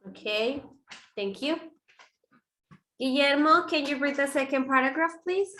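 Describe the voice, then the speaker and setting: A woman's voice: sits high, lively intonation, unhurried, pushed and bright. A woman who is a native Spanish speaker, teaching English online and calling on students in an informal, self-correcting way. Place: online video class.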